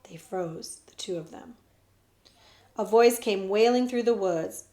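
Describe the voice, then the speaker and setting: A woman librarian reading a children's book aloud with expressive storytelling, dropping to whispers at times. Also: mid-range voice, a little slow, reverberant. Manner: expressive storytelling, shifts between whispers and loud voices.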